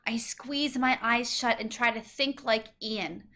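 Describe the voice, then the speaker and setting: A woman with a bright, fairly high voice reads a children's storybook aloud. Her narration is scripted and expressive, each phrase set out clearly for young listeners. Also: unhurried. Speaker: storybook reader.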